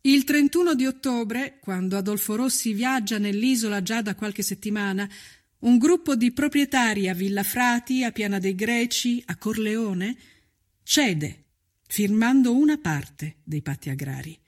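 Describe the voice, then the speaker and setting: A woman narrating a scripted historical documentary with solemn, deliberate, measured phrasing. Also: fairly high pitch, animated.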